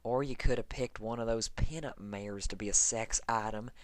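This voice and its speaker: A man's voice: lowish voice, normal speed, no room sound, pitched above the performer's own voice, lightly acted. A stubborn female farm pony with an American Southern drawl, played by a man, raised above his natural voice. She speaks curtly and grumbles, like a storybook character, recorded very dry. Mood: indignant. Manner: curt, grumbling. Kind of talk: character voice in fan fiction reading.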